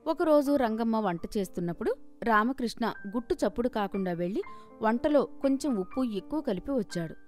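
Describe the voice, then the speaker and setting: A woman's voice: on the high side, lively intonation, fairly quick, a little mellow, soft background music. A woman storyteller reading scripted narration for a moral story.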